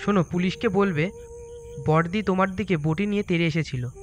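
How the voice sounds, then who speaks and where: Man acting an agitated young village husband, high-voiced. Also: brisk, lightly acted. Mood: urgent, coaxing. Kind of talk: dramatised storybook animation dialogue. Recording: music under the voice.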